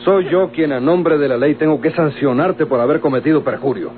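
An actor playing a stern, officious public prosecutor in a Mexican radio soap opera, with a Mexican accent and formal, authoritative phrasing. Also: male voice, on the high side, fairly quick, lightly acted. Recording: tinny old radio recording, clearly noisy.

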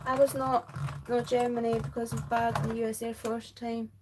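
A woman's voice: a little high, flat delivery, moderate pace, noisy background. Chatty Scottish woman crafting live. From Scotland. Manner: chatty, rambling, self-interrupting. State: tired. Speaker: crafting livestream host. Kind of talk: casual chat while crafting, reading viewer comments. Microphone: overhead camera over craft desk.

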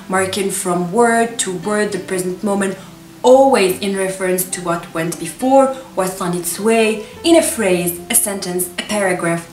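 A woman in her twenties, a native Arabic speaker, casually recommending books to camera in an expressive, gushing, emphatic manner. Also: medium pitch. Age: 20s. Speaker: book reviewer and vlogger. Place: small furnished room with books, indoors. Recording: echoey room, distant camera microphone.

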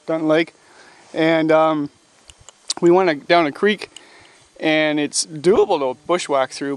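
Canadian man, middle-aged, voice a bit on the high side; casual outdoor vlog host with a rambling, halting delivery. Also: a little mellow, clean tone, no echo. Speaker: canoe trip host. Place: outdoors in woods and by lakes. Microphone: camera mic at close range.

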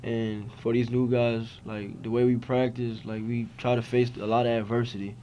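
A man's voice: mid-range voice, flat delivery, normal speed, lo-fi sound, a little hiss or hum. A young man, a college basketball player, answering reporters' questions after a game at a press table. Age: young adult. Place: press conference room. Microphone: table mics in front of him.

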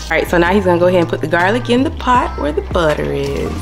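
A woman's voice: fairly low pitch, big pitch swings, quick pace, creaky. A woman narrating a home cooking video in a chatty, casual way, often addressing viewers as y'all. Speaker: cooking vlog host. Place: home kitchen. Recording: music under the voice. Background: background music.

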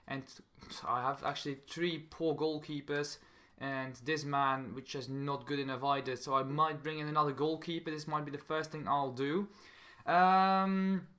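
A young man from Belgium with a Belgian accent, hosting a gaming channel with casual commentary in halting, non-native English that often repeats phrases. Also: fairly high pitch, bright, forceful tone.

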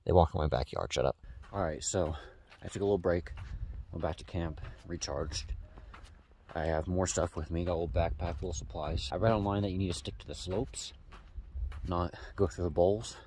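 Low-voiced young man vlogging outdoors. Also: moderate pace, slight background noise. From Tennessee. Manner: chatty, excitable storytelling with frequent laughs and restarts. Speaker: travel vlogger. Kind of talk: casual solo travel vlog. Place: outdoors at a campsite and on sand dunes.

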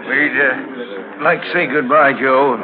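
Male actor as discouraged settler, medium pitch, western radio play, narrow old sound, crowd behind. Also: takes it slowly, close to an everyday voice. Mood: resigned. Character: discouraged, plain-spoken homesteader. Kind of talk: 1950s radio drama acting.

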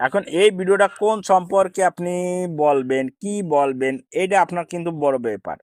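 A man's voice: sits high, brisk, no echo, clean studio sound. A man hosts an informal how-to tutorial, repeating himself often and addressing viewers as brother. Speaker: tutorial host.

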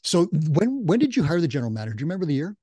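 A man's voice: fairly high pitch, big pitch swings, very fast, dark tone, slightly rough, no room sound, clean studio sound. A middle-aged man hosting a conversational business interview. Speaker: podcast host and interviewer. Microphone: boom-arm studio microphone with headset.

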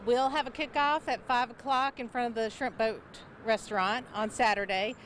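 A woman's voice: on the high side, fairly even intonation, normal speed, a bit bright, slight background noise. Friendly woman organizer interviewed on the street. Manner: friendly, informative, some fillers. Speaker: event organizer. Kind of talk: live TV interview answers. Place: outdoors on a town street. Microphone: reporter's handheld mic.

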